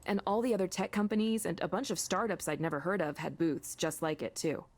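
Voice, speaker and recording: A woman's voice: on the low side, brisk. A woman playing a curious, self-deprecating young woman in a visual novel, in a natural conversational way. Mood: wistful, self-deprecating. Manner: conversational, animated, self-effacing. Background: game music.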